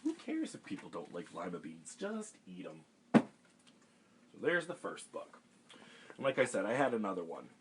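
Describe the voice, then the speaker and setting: A man reading a picture book aloud for a children's storytime, animated and expressive. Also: deep voice, a little slow, pauses often, background noise.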